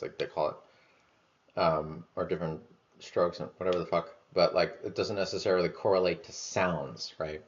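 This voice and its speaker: A man talking through his thoughts in a loose, rambling way, full of fillers, restarts and the odd casual swear. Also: sits low. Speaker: vlogger. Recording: dull lo-fi sound.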